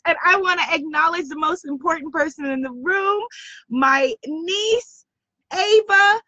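A woman with a slightly hoarse voice hosts a live stream, effusively greeting viewers by name. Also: high-pitched, sharp tone, dry recording, dull audio. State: slightly lost voice. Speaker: host of a live spiritual business stream.

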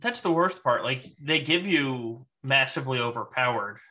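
A man's voice: medium pitch, lively intonation, moderate pace, pushed and bright, a little hiss or hum. A man co-hosting a gaming podcast, chatting in an informal, conversational way. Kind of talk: casual chat about a mobile game.

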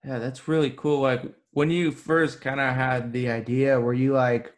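A man's voice: mid-range voice, level tone, moderate pace. A young man hosting a podcast in an easy, upbeat way. His voice sits in a middle range, and his questions come out loose, with fillers. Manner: casual, upbeat intros with fillers. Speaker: podcast host. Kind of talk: podcast interview.

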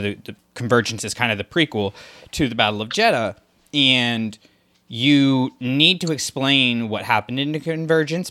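A man's voice: on the low side, pushed and bright. A man co-hosting a podcast, chatting casually about a story with many fillers and restarts. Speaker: podcast co-host. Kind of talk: casual discussion of a book.

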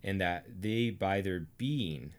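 Low-voiced American man teaching theology. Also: slow. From Arkansas, United States. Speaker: teacher and co-host of a theology series.

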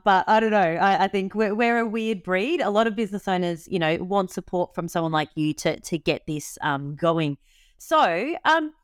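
A woman hosting an interview in a casual, enthusiastic way, often filling pauses with ums and likes. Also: on the low side, clean tone, dry recording, studio quality. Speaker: interview host.

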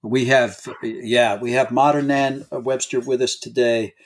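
A man hosting a podcast, talking in a relaxed, chatty way with frequent fillers. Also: medium pitch, unhurried. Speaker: podcast host. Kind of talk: podcast conversation.

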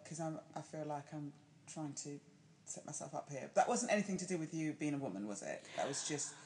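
A woman's voice: low voice, lively intonation, brisk, a little mellow. A woman hosting an interview, encouraging her guest and laughing readily as she talks. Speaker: interviewer, podcast host. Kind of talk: casual interview conversation.

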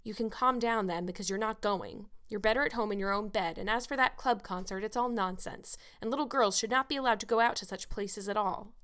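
A woman voicing a stern, sharp-tongued older spinster who scolds a child. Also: medium pitch, brisk, hardly any pauses, close to an everyday voice. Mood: scolding. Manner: curt, sarcastic, scolding.

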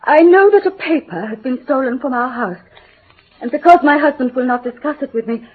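Woman acting an anxious high-voiced English lady. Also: a little fast, a little hiss or hum, lightly acted. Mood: anxious, pleading. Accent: upper-class English. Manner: formal, imploring, restrained emotion. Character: anxious, proud upper-class English lady, pleading. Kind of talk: classic British radio drama acting. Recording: muffled old radio broadcast.

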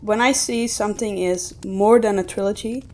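A woman's voice: mid-range voice, fairly even intonation, moderate pace, darkish tone, slight background noise. A woman co-hosting a podcast, talking in a casual, conversational way. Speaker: podcast co-host.

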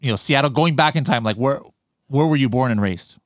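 A man's voice: medium pitch, brisk, dry recording, clean studio sound. A man hosting a casual interview, chatty and joking, often stammering and restarting his questions. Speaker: interviewer host.

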